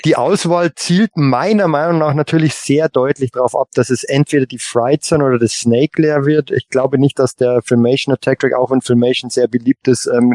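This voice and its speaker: A man chatting casually as a podcast panelist, giving his opinions in a halting way with repeated words. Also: mid-range voice, a little mellow, no echo. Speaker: podcast panelist on a toy collector show.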